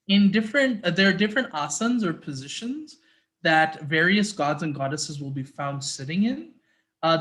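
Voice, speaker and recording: A man's voice: a little high, a bit bright. Earnest man speaking on a video call. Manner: earnest, reflective, devotional tone. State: sore throat. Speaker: guest speaker, interfaith activist. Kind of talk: talk then answering audience questions.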